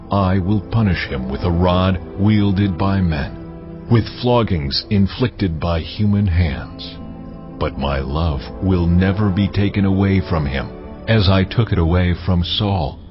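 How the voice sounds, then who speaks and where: An actor playing God as a solemn, authoritative Lord giving measured decrees in dramatized scripture, over music. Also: male voice, low-pitched. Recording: muffled, band-limited audio.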